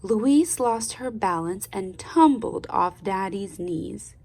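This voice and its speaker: A woman reads a storybook aloud like a teacher guiding young listeners. Her pace is very slow and deliberate, and her voice has a noticeable creak. She is expressive and pauses to prompt the child. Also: medium pitch. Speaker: speech therapist reading aloud to children.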